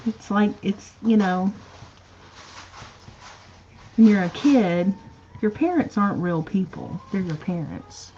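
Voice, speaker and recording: Slow-talking woman host. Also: medium pitch, animated, warm tone, muffled recording, a little hiss or hum. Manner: easygoing, laughs often, reads viewer comments aloud. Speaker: co-host of a live chat show. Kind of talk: casual livestream chat.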